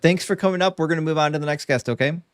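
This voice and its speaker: A man's voice: a little high, racing, no echo. Man hosting a live debate, dry close sound. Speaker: debate live stream host.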